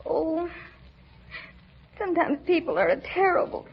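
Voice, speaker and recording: A woman's voice: very high voice, sing-song, measured pace, heavily acted. A woman playing a downcast young niece, subdued and forlorn, in 1940s radio comedy style. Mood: dejected. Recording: dull vintage radio recording.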